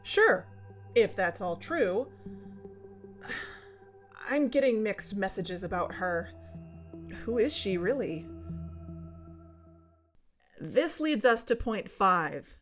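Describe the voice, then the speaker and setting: A skeptical, anxious woman investigator talks candidly in a naturalistic podcast drama. Her voice sits at a middle pitch, conversational and doubting, over faint music. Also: big pitch swings, very slow, pauses often. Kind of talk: naturalistic fiction podcast acting as recorded conversation.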